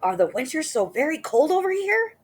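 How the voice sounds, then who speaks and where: A woman's voice: sits high, sing-song, brisk, pitched above the performer's own voice. A woman reading a storybook aloud, voicing an earnest, fretful father monkey.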